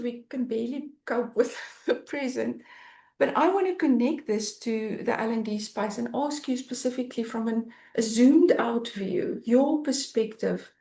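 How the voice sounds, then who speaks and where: A woman hosts a webinar conversation, her voice sitting a touch high and ringing in an echoey room. She frames her questions in an enthusiastic, chatty way. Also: dark tone. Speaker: host, moderator. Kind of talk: moderating a webinar fireside chat.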